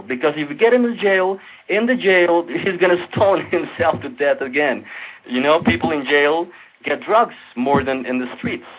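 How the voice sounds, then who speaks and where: Earnest young Colombian man, dull lo-fi sound. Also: a little high. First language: Spanish. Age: 20s. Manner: earnest, halting, repeats words as he searches for phrasing. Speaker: tech support agent. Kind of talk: impassioned persuasive argument on a support call. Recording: dull lo-fi call audio.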